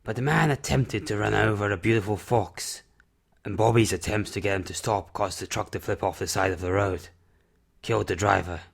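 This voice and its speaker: A man playing a gruff, solemn older recluse with a terse, grave manner in an amateur audio drama. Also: fairly low pitch, fairly even intonation, normal speed.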